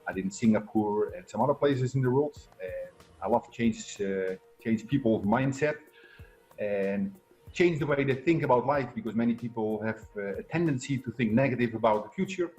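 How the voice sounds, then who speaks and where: A man's voice: mid-range voice, normal speed, a little mellow, muffled recording, faint music behind. A middle-aged man from the Netherlands with a Dutch accent, talking conversationally as an upbeat coach being interviewed. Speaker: guest, success coach and entrepreneur.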